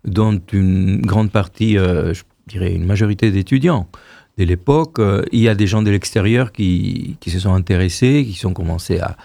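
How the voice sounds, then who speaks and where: This is a man in his sixties from Argentina whose first language is Hebrew. He speaks in a gravelly, mellow, fairly low voice and tells his story unhurriedly, restarting phrases as he goes. He is recorded dry and close. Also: normal speed, dry recording. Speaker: choir director, guest. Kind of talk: radio interview.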